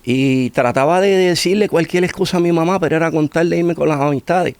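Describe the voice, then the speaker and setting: A man's voice: a little high, brisk, a little mellow, smooth, dry recording. A middle-aged man with a Puerto Rican accent telling his personal testimony in conversation, repeating phrases for emphasis. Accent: Puerto Rican Spanish. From Puerto Rico. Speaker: interview guest giving testimony.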